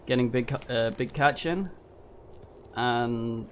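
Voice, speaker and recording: A man's voice: mid-range voice, slow, a little hiss or hum. A man with a slight sniffle, casually thinking aloud while streaming a game from home. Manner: thinking aloud, rambling and musing. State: a little sniffly from a cold. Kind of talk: casual live gameplay commentary. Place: at home at his computer. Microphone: desk microphone. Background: game music.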